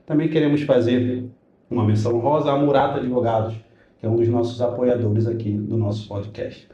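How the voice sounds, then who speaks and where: A man hosts a podcast, reading sponsor messages in an announcer-like way before turning to friendly interview questions. His voice is mellow and dark, and the room around him echoes. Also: medium pitch, fairly even intonation, fairly quick, highs cut off. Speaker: podcast host.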